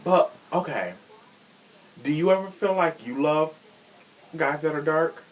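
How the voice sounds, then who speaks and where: A man's voice: on the high side, unhurried, poor audio. A man hosting a casual podcast, chatting in a sassy, theatrical way. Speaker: podcast host.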